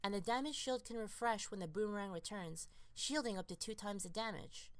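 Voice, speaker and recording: A woman reading scripted, explanatory narration for a game guide in a measured, instructional manner. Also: mid-range voice, a little hiss or hum.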